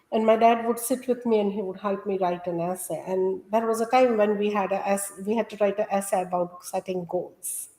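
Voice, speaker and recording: A woman from India, a Gujarati speaker, explains her ideas earnestly as an interview guest and health coach. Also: mid-range voice. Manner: earnest, explanatory, steady teaching tone. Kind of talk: conversational interview. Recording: echoey room sound.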